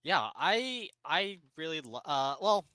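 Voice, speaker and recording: Very bright, dry-sounding rambling man. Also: a little high, very animated, takes it slowly, clear, resonant tone, dry recording. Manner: rambling, heavy use of "like". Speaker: podcast co-host. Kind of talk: casual chat reviewing anime.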